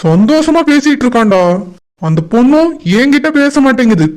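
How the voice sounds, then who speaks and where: A man's voice: extremely high pitch, very animated, moderate pace, a little mellow. A man voicing a jealous, hot-tempered young groom-to-be who grumbles insistently in a village cartoon. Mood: irritated, vengeful. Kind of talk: cartoon voice acting in colloquial dialogue.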